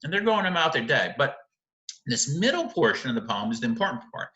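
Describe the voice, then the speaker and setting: A man's voice: mid-range voice, sing-song, fairly quick, bright, forceful tone, echoey room, highs cut off. A man teaching literature in an informal video lecture, speaking emphatically and often checking in with rhetorical questions. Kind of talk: informal video lecture analysing a short story.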